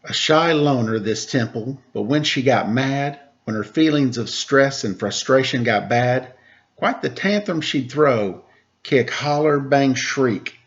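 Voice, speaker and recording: A man reading a rhyming picture book aloud, lilting and expressive in the verses, relaxed and chatty in the asides. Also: mid-range voice, unhurried, muffled recording.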